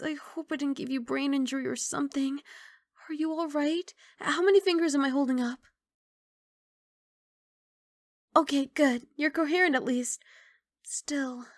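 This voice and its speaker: A woman playing a young, sweet, apologetic giant dragon girl in an intimate ASMR roleplay, soft and coaxing with hesitant rambling. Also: high-pitched, no echo, clean studio sound, lightly acted. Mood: caring concern. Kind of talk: ASMR roleplay, intimate one-sided dialogue.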